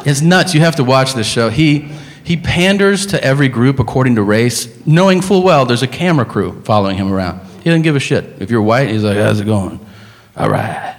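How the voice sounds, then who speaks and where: A man's voice: mid-range voice, animated, brisk, slight background noise. A man doing live stand-up comedy, telling stories in an incredulous, emphatic, casually profane way.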